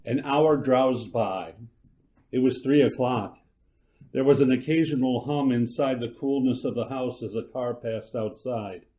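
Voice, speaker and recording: A male narrator who reads a radio drama slowly and steadily. His voice is dark and mellow, with a middle pitch, and the recording is slightly muffled and lo-fi. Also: a little hiss or hum. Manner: slow and measured.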